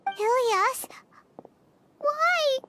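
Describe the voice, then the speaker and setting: A woman voicing a small, excitable, loyal fairy girl in a video game, squeaky and childlike, speaking in exclamations. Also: drawn-out words, full character voice. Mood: alarmed, puzzled. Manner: exclamatory, refers to herself in third person. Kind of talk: video game character acting. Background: loud game music.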